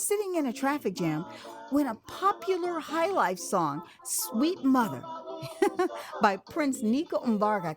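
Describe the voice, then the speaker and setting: An American woman narrating her own memoir as an audio drama, in an expressive, theatrical way with pauses. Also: a little high. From Texas, United States. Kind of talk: dramatized first-person audio memoir storytelling. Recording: music behind the voice. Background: music.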